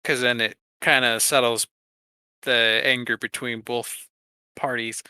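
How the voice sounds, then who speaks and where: A man's voice: mid-range voice, little pitch movement, a little slow, pushed and bright, clean tone, no room sound. A man co-hosting a podcast, chatting in an informal, rambling and jokey way. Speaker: podcast co-host. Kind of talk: casual podcast chat.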